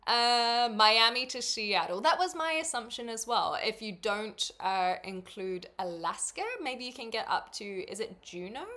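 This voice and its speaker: A woman's voice: fairly high pitch, lively intonation, unhurried, hardly any pauses, sharp tone. A young woman from New Zealand with a faint New Zealand accent, streaming and chatting casually with viewers, thinking aloud with lots of fillers and easy laughs.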